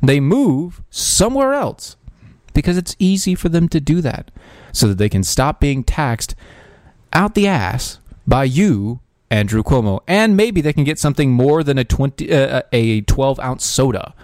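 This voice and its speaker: Animated sarcastic male podcast host. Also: mid-range voice, a little slow, no echo, clean studio sound. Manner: sarcastic, ranting, with frequent restarts. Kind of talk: casual political commentary.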